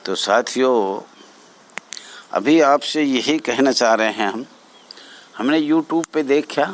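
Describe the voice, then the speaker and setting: An older man giving an informal address as an association leader, exhorting his fellow members in halting, repetitive phrases. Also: medium pitch. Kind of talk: informal spoken address to fellow home guards.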